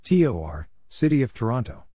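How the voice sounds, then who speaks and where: A man's voice: medium pitch, sing-song, brisk, warm tone, breathy edge. A man giving a scripted reading for code practice, calling out spelled letters and place names as separate short announcements. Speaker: Morse code practice instructor.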